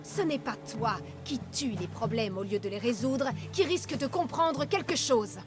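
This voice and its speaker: A woman's voice: a little high, animated, normal speed, over music, lightly acted. A woman playing a scheming village doctor in a video game, composed and condescending. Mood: cool, defensive. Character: scheming village doctor, coolly smug, secretly a poisoner. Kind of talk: dramatic video game acting.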